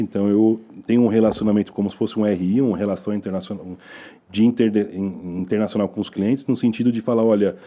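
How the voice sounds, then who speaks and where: A man's voice: on the low side, dark tone. A middle-aged Brazilian lawyer, a man, chatting as a guest, teasing his host and explaining his work at length. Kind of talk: casual interview chat.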